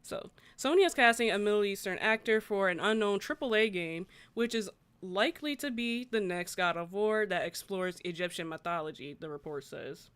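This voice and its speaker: Smooth-voiced woman, casual livestream host. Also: medium pitch, normal speed, few pauses, fairly bright tone, no room sound. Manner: informal, conversational, chatting with viewers. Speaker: gaming news livestream host. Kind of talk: casual livestream chat, reading articles aloud and reacting.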